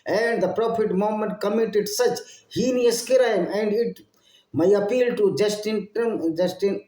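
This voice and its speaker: Indian guy ranting to his channel in broken english, kinda high mellow voice, echoey room. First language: Hindi. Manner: halting non-native English, repeats and rephrases phrases. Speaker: channel host. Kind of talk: impassioned solo monologue to viewers.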